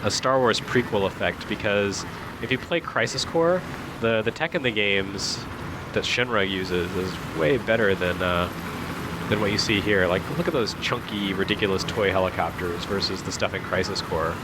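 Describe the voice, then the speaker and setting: A man's voice: fairly low pitch, moderate pace, noisy background. A man giving casual, joking commentary on video game cutscenes with dry, sarcastic humour.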